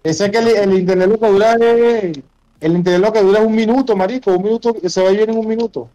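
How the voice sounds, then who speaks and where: High-voiced Venezuelan man, bossy gamer. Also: fairly even intonation, quick pace, warm tone, low-quality recording. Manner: slangy, bossy, repetitive instructions. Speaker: gamer livestreamer. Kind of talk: casual chat while playing an online game.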